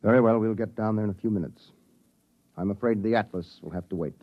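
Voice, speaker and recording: An American man playing a grave, measured, authoritative spaceship captain in 1950s radio drama style. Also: lowish voice, close to an everyday voice. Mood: subdued. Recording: muffled vintage 1950s radio recording.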